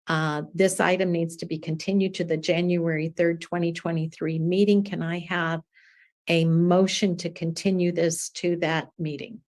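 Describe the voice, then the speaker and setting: A woman's voice: on the low side, level tone, moderate pace, a little mellow. A woman chairing a city planning commission meeting, reading agenda items formally and calling for motions.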